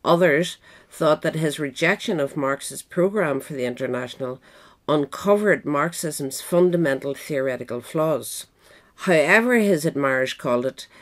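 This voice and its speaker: A woman reads a nonfiction essay as an audiobook narrator. Her voice sits at a low pitch for a woman, and her delivery is measured and formal, following a written text. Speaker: audiobook reader. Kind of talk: scripted narration of a political history essay.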